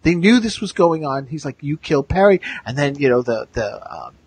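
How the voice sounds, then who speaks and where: A man co-hosting a casual pop-culture discussion with an enthusiastic, halting fan's delivery. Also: a little high, sing-song, husky. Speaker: podcast co-host.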